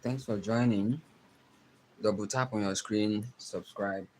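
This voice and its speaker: Nigerian guy teaching pencil shading on a livestream, slow and soft, faint room hum behind. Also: fairly low pitch, fairly even intonation, rough recording. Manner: slow, soft-spoken, trailing off while drawing. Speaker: art teacher streaming live. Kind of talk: live drawing tutorial.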